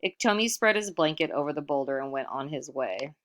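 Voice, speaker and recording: Woman, fairly low pitch, children's story reader, expressive delivery, chatty asides to listeners. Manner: expressive, pausing to comment and ask questions. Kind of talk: read-aloud of a children's picture book with casual asides.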